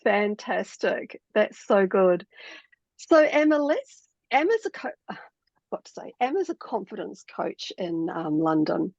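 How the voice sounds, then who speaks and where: A woman's voice: mid-range voice, big pitch swings, measured pace, creaky, darkish tone, no echo. A woman hosting an online panel chat, talking in a chatty way with many fillers over a video call. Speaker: host. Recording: dull, dry lo-fi audio.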